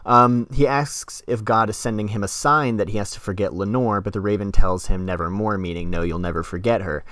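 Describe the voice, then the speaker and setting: Low-pitched man explaining literature casually. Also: steady tone, brisk, fairly bright tone, no echo, clean studio sound. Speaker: podcast host.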